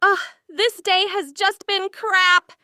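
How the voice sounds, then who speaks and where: An audiobook daughter, acted by a woman, very high and bright in voice. She draws her words out slowly, tearful and exasperated, in a dry recording. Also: fairly even intonation, no echo, heavily acted. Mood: tearful frustration. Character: upset, frustrated young daughter on the phone. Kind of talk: audiobook character acting.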